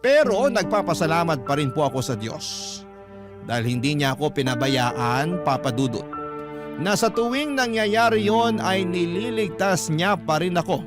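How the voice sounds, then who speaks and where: A man's voice: on the high side, sing-song, normal speed. A man with a Filipino accent reading a letter aloud as a radio host, in a dramatic storytelling style. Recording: music bed behind narration. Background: instrumental music.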